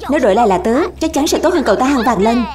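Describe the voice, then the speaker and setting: A woman acting as a high, excitable young cartoon hero. The delivery is dramatic and full of exclamation, in the manner of an animated dub, with music playing behind. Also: very fast, natural voice. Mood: amazed. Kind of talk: animated cartoon dub, several characters under one speaker number.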